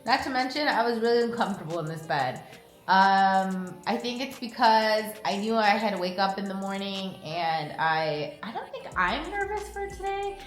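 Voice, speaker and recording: A woman, chatting casually to camera in the early morning, relaxed and quick to laugh, with a stuffy, sniffly nose. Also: mid-range voice, reverberant, soft background music. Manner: chatty and relaxed, laughs often, drifts off mid-sentence. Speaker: vlogger. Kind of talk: casual vlog chat to camera. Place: hotel room in the early morning.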